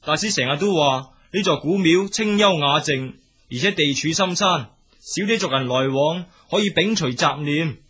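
Male actor as naive young monk, lightly pitched, rough-edged, hesitant, plaintive, Cantonese, audio drama. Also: normal speed, fairly bright tone. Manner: questioning, plaintive.

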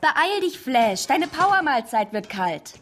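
A woman's voice: high voice, very animated, a little slow, fairly bright tone, full character voice. A woman playing a doting, placid superhero-family mother in a children's radio play.

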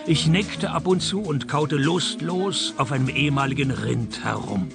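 An actor playing a laconic, world-weary private detective who narrates a hardboiled parody radio play in deadpan. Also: male voice, a little high, normal speed, creaky, music in the background. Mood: weary, wry narrating.

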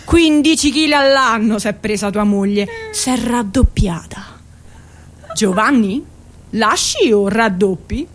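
A brash working-class woman, played by an actress, with a high-pitched voice. She is bitter and scornful, swears coarsely and hammers on the same phrases, in the heightened manner of a radio stage monologue. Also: expressive, unhurried. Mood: mocking. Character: bitter, brash, foul-mouthed working-class Italian woman ranting at absent lover. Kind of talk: theatrical monologue acting for radio.

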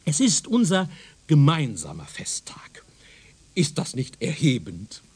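Pompous, wildly animated professor. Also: male voice, on the high side, slow, breathy edge, exaggerated voice. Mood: solemn, exalted. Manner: pompous, didactic, declamatory, condescending. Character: pompous, self-assured male professor lecturing on his doctrine. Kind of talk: German radio drama acting.

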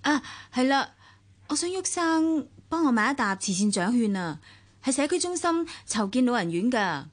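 Young woman, high-pitched, Hong Kong Cantonese accent, measured, polite, radio drama. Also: very animated. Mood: polite, composed. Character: composed, dutiful young woman losing her family home.

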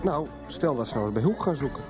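A man's voice: mid-range voice, animated, fast, music in the background, lightly acted. A man acting in a 1990s radio soap as a nervous, doubting accomplice who hesitates and repeats words. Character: nervous, doubting male accomplice in shady business.

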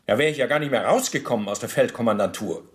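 An actor playing a bureaucratic, self-justifying Nazi war criminal under interrogation in a radio play, speaking formally and officiously. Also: male voice, medium pitch, expressive, quick pace, lightly acted. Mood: indignant. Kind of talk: German radio docudrama interrogation acting.

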